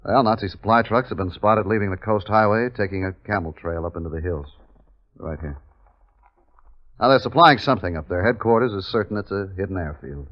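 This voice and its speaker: An actor playing a measured, authoritative army captain who narrates his wartime mission in a 1950s radio drama. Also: on the low side, lightly acted. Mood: briskly commanding. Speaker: narrator and commanding officer. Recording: dull 1950s radio recording with music.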